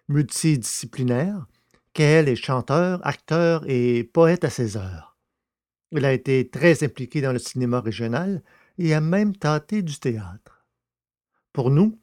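A man with a medium-pitched voice presents and narrates from a script. His delivery is measured and articulate. The sound is dry, with very little room in it. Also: a little mellow, no echo. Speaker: podcast host and narrator. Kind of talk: scripted podcast presentation and audio novel narration.

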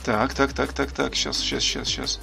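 A man with a gravelly, creaky voice. He is mocking and crude, swears freely, and talks over the person he calls. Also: mid-range voice, sing-song, a little slow, over music. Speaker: streamer making prank calls. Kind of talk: prank phone call.

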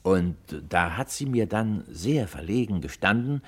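An actor playing a worried, courteous well-off husband in a German radio crime play, polite, earnest and a little hesitant. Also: male voice, fairly low pitch, expressive, a little slow, husky. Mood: earnest, thoughtful.

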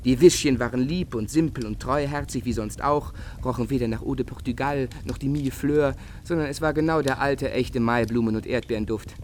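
A man's voice: medium pitch, a little fast, hardly any pauses, a little mellow, a little hiss or hum, natural voice. A man playing a passionate, brooding young painter in love in a literary radio drama, declamatory and agitated, with old-fashioned diction. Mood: sarcastic, wounded.

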